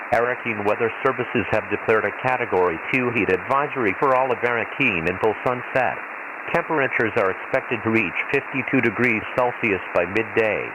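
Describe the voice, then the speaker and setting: Hissy radio man acting as an impassive low-voiced announcer. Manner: formal, measured, impersonal. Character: impassive male weather radio announcer on a desert planet. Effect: radio transmission filter. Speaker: weather broadcast announcer. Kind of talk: scripted emergency weather radio bulletin. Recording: hissy, noisy shortwave-style radio broadcast.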